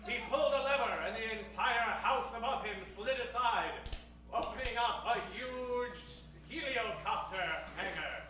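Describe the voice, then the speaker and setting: A man announces in dramatic old-time radio style. His voice is unusually high and bright, pitched theatrically for the stage. The sound is echoey and a little distant. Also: a little slow. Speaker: announcer and narrator. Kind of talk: old-time radio narration, part improvised. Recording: echoey stage, distant mic, faint music.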